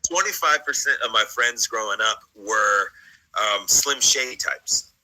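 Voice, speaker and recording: A man's voice: on the low side, lively intonation, a little slow, pushed and bright, low-quality recording. An American man in his late thirties chatting casually in a rambling, jokey, slangy way as he hosts. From United States, partly South Carolina and Virginia. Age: late 30s. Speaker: host of a vlog. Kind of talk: casual reaction chat.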